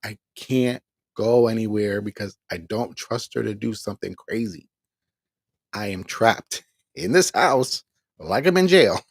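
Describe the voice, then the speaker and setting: A man's voice: mid-range voice, creaky, slightly rough, no echo, clean studio sound. A tired man hosting an online ministry show, telling a candid, rambling personal story from home with frequent laughs. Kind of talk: casual personal testimony.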